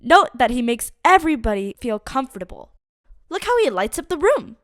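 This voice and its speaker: Lively woman reading a kids' story. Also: high voice, normal speed, dry recording. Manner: lively, expressive reading for kids. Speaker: children's book reader. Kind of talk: read-aloud storytime.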